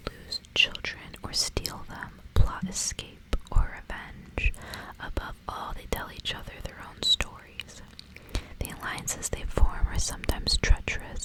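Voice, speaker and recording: A woman speaks in a hushed, breathy whisper throughout, in the style of an ASMR host. Her delivery is casual and unhurried in structure, drifting loosely between chat and reading. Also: low-quality recording. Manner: casual, rambling.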